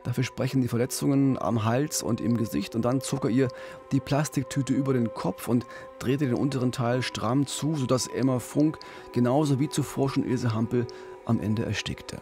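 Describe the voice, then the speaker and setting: A man's voice: medium pitch, normal speed, music in the background. A middle-aged man telling a crime story conversationally as a podcast host, close on a studio mic. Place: small radio studio. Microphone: close studio boom mic with pop filter.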